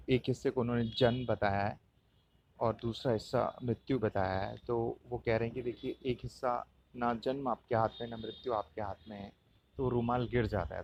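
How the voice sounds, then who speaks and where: Casting: male podcast host, Indian accent, mid-pitched voice, casual solo commentary with a halting, explanatory delivery. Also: darkish tone, muffled recording. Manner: hesitant, explanatory, repeats points.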